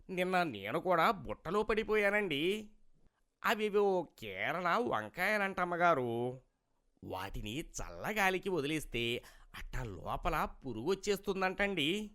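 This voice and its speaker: A man voicing a sly, greedy, smooth-talking vegetable seller in a cartoon moral story, with wheedling, folksy street-vendor talk. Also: high-pitched, fairly bright tone.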